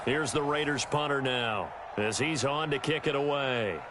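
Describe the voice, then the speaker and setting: A man's voice: mid-range voice, measured pace, over a noisy crowd, natural voice. A man playing a play-by-play announcer in a football video game, calling the action in a clipped, polished broadcast delivery. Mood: focused. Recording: stadium noise behind the commentary. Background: loud stadium ambience of a football game.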